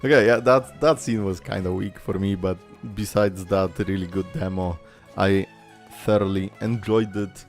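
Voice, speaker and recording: A man's voice: low-pitched, steady tone, moderate pace, faint music behind. A young man casually reacting to game trailers, rambling, swearing and laughing between thoughts. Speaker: reaction video creator.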